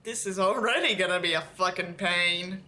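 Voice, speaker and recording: A woman's voice: lowish voice, moderate pace, sharp tone, a little hiss or hum. A woman who sounds tired and under the weather, reading aloud and commenting in a casual, sarcastic, weary way. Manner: sardonic, weary, swearing. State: tired, feeling unwell. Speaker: host reading and mocking a book.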